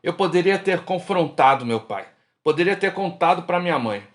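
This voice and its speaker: A man plays a middle-aged Brazilian drinker out with old friends. His voice is somewhat high for a man and moves up and down a lot. He is frank and colloquial, in radio drama style. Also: expressive, moderate pace. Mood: regretful. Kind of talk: radio drama acting, casual bar chat.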